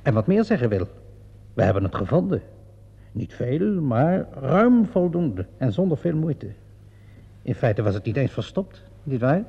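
A man's voice: mid-range voice, sing-song, unhurried, gravelly, husky, exaggerated voice. A man acting a shrewd, theatrical police inspector in a radio play, smugly sure of himself and politely insistent. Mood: calm, pressing. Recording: muffled old radio play recording.

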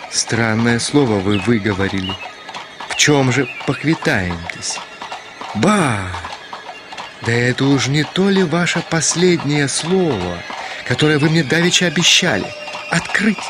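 An actor playing a weary, irritable gentleman in a Russian radio drama, impatient, emphatic and theatrical in manner. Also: mid-range voice, lively intonation, slow, over music. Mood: firm, challenging. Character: weary, irritable gentleman, curt with an unwelcome rival.

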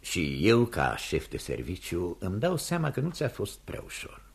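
Gravelly, very animated office boss. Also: male voice, low-pitched, full character voice. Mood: benevolent. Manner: measured, formal. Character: courteous, approving office boss. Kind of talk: radio theatre acting. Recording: noisy old radio recording.

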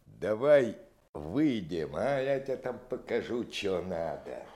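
A man playing a gruff, menacing, needling tough who talks in slang in a Soviet radio drama. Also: on the low side, big pitch swings, takes it slowly, darkish tone. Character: menacing, slow-talking tough pretending to be crazy.

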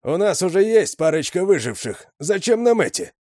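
A man's voice: sits high, very animated, moderate pace, a little mellow, no echo, clean studio sound, pitched above the performer's own voice. A man voicing a whiny, cowardly young male gang underling who whines and pleads. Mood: whining. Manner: whining, pleading.

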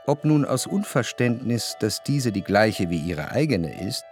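An actor playing the reflective, observant first-person narrator of a German radio drama, speaking in a measured, literary way. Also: male voice, lowish voice, sing-song, darkish tone, faint music behind. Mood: reflective, wry.

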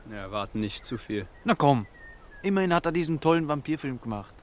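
A man's voice: on the high side, big pitch swings, a little fast, a little hiss or hum. An actor playing a young boarding-school boy, modest and downplaying, in a German radio drama. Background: music.

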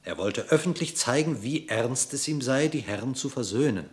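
A man narrating a verse fable for a radio drama with measured, formal diction. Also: mid-range voice, expressive.

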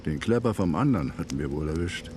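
Bragging western gunman, rough talker, lowish lively voice, radio drama over music. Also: fast. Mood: boastful. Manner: boastful, colloquial storytelling. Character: rough male frontier Indian hunter spinning war stories. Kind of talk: German radio drama acting.

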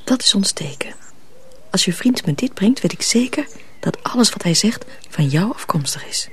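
Woman acting soft, breathy young village girl. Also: mid-range voice, lively intonation, normal speed, whispered, natural voice. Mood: devoted, resolute. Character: devoted, tender young village girl in love. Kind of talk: radio drama dialogue.

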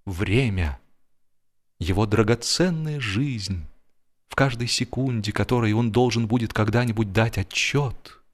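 A man reading humorous fiction as an audiobook narrator, in an expressive, dramatic storytelling manner. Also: fairly low pitch, measured pace. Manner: expressive, acts out the dialogue.

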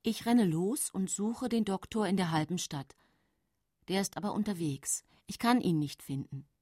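An actress playing a calculating, self-pitying poisoner widow who narrates her own tale in a radio drama, with a husky voice. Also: medium pitch, moderate pace, dark tone, dry recording, studio quality, close to an everyday voice. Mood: urgent, self-excusing.

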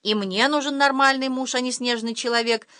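A woman's voice: sits high, steady tone, a little fast, sharp tone, dry recording, studio quality, pitched above the performer's own voice. A woman voicing a tearful mother, a violinist, in a children's story. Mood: plaintive. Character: tearful mum, orchestra violinist.

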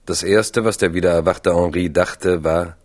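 A man narrating a literary radio drama in a grave, measured way. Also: low voice, fairly even intonation. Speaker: story narrator.

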